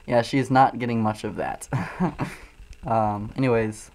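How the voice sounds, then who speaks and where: A man from Illinois with a Midwestern accent, loosely and profanely talking through chat comments on a livestream while high. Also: fairly even intonation, unhurried, darkish tone. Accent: Midwestern American. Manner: loose, profane, frequent ums and uhs. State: high, coughing from smoking. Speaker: streamer reading chat.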